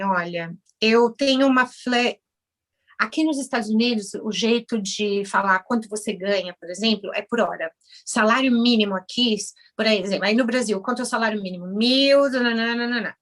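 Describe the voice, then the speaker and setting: Lively Brazilian woman telling her story. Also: on the high side. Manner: animated, acts out dialogue with others in shifted voices. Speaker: guest, Brazilian orthodontist now working as a house cleaner. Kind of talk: casual interview storytelling.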